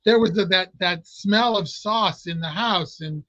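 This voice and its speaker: A man with a fairly high voice explains hypnosis in a relaxed interview, often restarting his sentences. His voice sounds dry and a bit dull, like remote audio. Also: measured pace, no room sound. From Italian American family. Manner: explanatory, many restarts and fillers. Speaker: clinical hypnotist guest. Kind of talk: casual interview talk.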